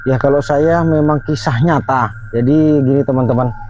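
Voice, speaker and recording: A man's voice: mid-range voice, normal speed, a little mellow, a little hiss or hum. A man hosting a night outing, earnestly telling a true story with emphatic, filler-heavy delivery outdoors at night. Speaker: host. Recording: lo-fi with faint music.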